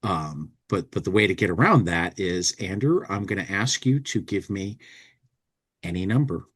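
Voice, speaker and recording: A man hosting a podcast in casual chat, rambling and halting, often restarting his phrases. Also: deep voice, a bit bright, slightly rough. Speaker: podcast host.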